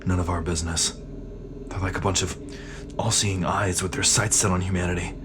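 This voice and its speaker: A man's voice: low voice, little pitch movement, brisk, natural voice. A man playing a weary, defiant sergeant under interrogation in a sci-fi audio drama, blunt and embittered. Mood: frustrated, defiant. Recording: noisy ambient background.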